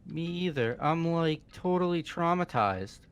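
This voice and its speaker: A man's voice: fairly high pitch, animated, a little slow, clean tone, faint music behind. A man voicing a laid-back, slangy young guy in a fan-dubbed game, casual and crude. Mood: casual griping.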